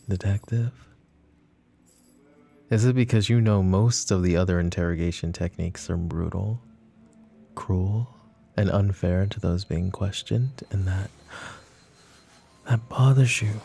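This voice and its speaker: Man playing a low, smug, flirty mafia boss. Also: fairly even intonation, unhurried, gravelly, long pauses, mellow, slightly rough, exaggerated voice. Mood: taunting. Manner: teasing, mocking, intimate drawl with pet names. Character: smug, flirty, possessive male mafia boss under interrogation. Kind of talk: ASMR roleplay acting.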